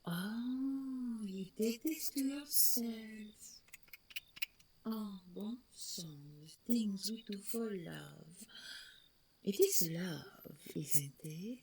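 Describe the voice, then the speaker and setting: A woman playing the vain, vengeful ghost of a deposed monster queen in an audio roleplay, taunting theatrically in a breathy, creaky whisper. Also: mid-range voice, a little mellow. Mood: sly, taunting. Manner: taunting, theatrical, drawn-out delivery. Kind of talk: audio roleplay monologue acting. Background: ambient sound effects, wind.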